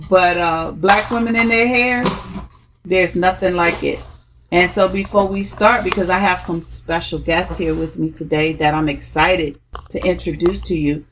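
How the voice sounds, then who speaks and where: A woman hosting a podcast, chatty and upbeat, interviewing guests with frequent fillers. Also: mid-range voice. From DMV area, US. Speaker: podcast host.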